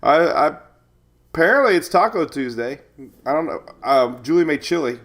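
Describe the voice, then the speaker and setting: A man's voice: a little high, very animated, moderate pace, a bit bright. A man hosting a casual live weather stream, chatty, rambling and jokey, sounding slightly stuffed up with a cold as he greets viewers.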